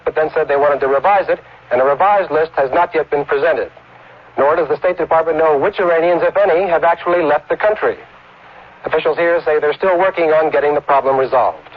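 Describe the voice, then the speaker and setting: Quick, higher-voiced American male correspondent. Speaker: news correspondent. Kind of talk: scripted news report. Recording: muffled vintage radio broadcast.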